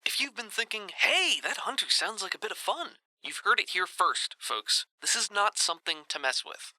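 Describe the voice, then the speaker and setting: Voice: mid-range voice, sing-song, moderate pace, bright, forceful tone, no echo, rough recording. An actor playing a jaunty radio presenter giving cautionary announcements in exaggerated broadcast banter for an audio drama. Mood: jaunty warning.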